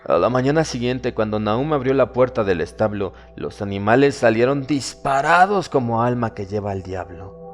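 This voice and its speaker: A man narrating a horror audiobook in a solemn, measured, dramatic storytelling manner. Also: medium pitch, lively intonation. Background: background music.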